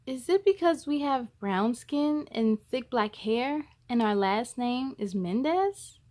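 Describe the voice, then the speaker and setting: A woman's voice: a little high, lively intonation, slow pace, warm tone. A woman storyteller voicing a wondering young schoolgirl in a children's picture book. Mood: puzzled, hurt. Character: puzzled, hurt third-grade schoolgirl.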